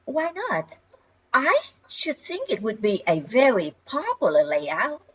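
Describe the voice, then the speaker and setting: Older lady acting as polite confident new neighbor in radio sketch, husky, highish voice, bit muffled. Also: wildly swooping pitch, slow, a bit bright, exaggerated voice. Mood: puzzled.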